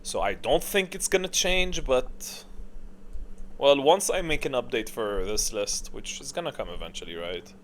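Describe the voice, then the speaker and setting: Male gaming creator, medium pitch, casual commentary style, rambling and opinionated with emphatic slang. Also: animated, moderate pace, slight background noise.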